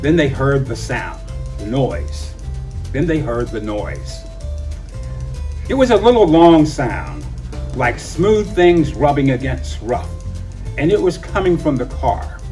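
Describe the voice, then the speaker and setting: A man reading a children's story aloud in an expressive narrating style. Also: mid-range voice, takes it slowly, long pauses. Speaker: storybook reader. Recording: distant mic, music behind.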